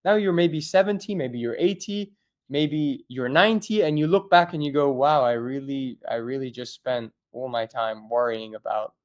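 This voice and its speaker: A man hosting a podcast, asking questions in an animated, enthusiastic way. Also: medium pitch, moderate pace, highs cut off. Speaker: podcast host. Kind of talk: casual interview chat.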